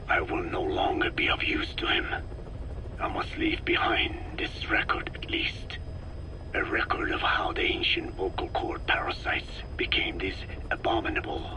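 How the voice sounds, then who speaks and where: A grave old Navajo scientist. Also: male voice. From Navajo Nation, American Southwest. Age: older. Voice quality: low, slow, measured and solemn, often breathy. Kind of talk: game cassette tape dialogue. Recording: noisy tape hiss.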